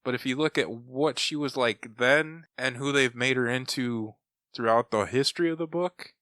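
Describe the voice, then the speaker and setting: A man's voice: medium pitch, normal speed, pushed and bright, dry recording. A man in casual podcast conversation, giving his opinions as a panelist. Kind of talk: casual chat, critique.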